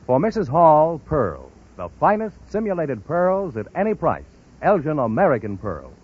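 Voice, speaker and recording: A dull vintage radio recording of a male announcer. His emphatic voice swings up and down, fairly high and a little husky as he presents. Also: wildly swooping pitch, moderate pace. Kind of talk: 1950s-style radio announcing.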